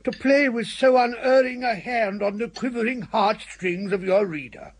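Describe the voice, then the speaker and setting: Husky, pompous older English gentleman. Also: very high voice. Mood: effusive, reverent. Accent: upper-class English. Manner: pompous, formal, effusive, measured. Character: courtly, earnest, fat older English gentleman, gushing uncle. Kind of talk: radio comedy drama acting.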